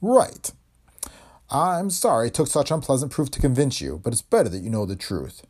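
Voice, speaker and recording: A man voicing a courtly, sinister bearded man who gives formal, clipped orders, in a husky voice. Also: medium pitch, very animated, moderate pace, a little mellow, pitched above the performer's own voice.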